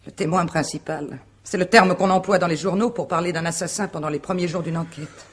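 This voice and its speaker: An actress playing a proud, guarded wife under suspicion in a radio mystery drama, answering formally and with composure. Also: female voice, on the low side, steady tone, brisk, husky. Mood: defiant, composed. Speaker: actor in a radio mystery play.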